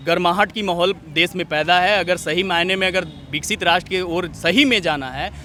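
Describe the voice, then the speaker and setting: Young man, high-pitched, forceful bright tone, earnest, speech-like phrasing, street interview. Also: normal speed, a little hiss or hum. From Jharkhand, India. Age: young adult. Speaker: student leader being interviewed. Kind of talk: opinionated street interview answers.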